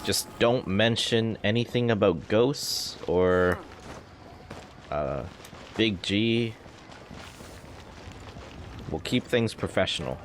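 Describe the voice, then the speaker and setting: A woman's voice: rumbling low, slow pace, long pauses, slight background noise. A woman streamer giving casual, offhand gameplay commentary, joking back at the game's characters. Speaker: video game streamer. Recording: game music behind voice.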